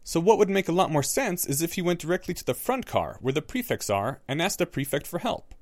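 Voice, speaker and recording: A man's voice: a little high, big pitch swings, brisk, no room sound, voice pushed up. A man voicing a clever, theatrical young boy wizard who talks with confident, reasoning flair.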